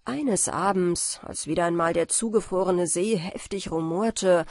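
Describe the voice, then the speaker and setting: A woman reading a bedtime fairy tale as an audiobook narrator, in measured and expressive storytelling. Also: lowish voice, no room sound.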